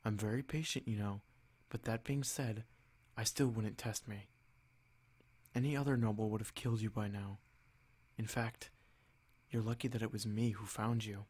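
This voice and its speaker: A man playing a noble vampire lord in an ASMR roleplay, haughty and possessive, speaking in a measured, condescending, softly threatening way. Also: fairly low pitch, steady tone, breathy edge. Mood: annoyed, menacing.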